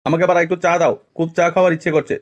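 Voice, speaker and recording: Fast, high-pitched scolding cartoon father played by a man. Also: fairly even intonation, muffled recording, a little hiss or hum, close to an everyday voice. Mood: demanding. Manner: scolding, crude, threatening. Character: gruff, foul-mouthed, bad-tempered village father. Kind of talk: amateur comedy cartoon voice.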